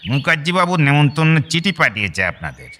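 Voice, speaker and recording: A man's voice: fairly high pitch, very animated, fast, bright, forceful tone, a little hiss or hum. A man playing a deferential, rustic household manservant with humble, colloquial speech in a radio drama. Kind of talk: broadcast radio drama acting.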